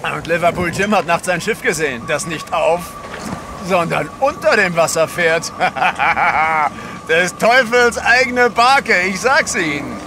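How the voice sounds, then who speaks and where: Superstitious seaman: high male voice, ominous yarn-spinner, unhurried delivery, radio play with noisy ship ambience. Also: animated. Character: gruff superstitious sailor spinning ominous sea yarns. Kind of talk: audio drama acting. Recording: loud outdoor ship ambience.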